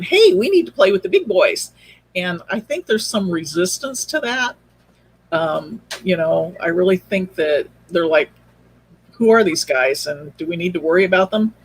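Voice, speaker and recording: A man's voice: high voice, expressive, normal speed, slightly rough, low-quality recording. A man speaking as an interview guest, explaining things in a casual, rambling way. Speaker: podcast guest, audio drama creator.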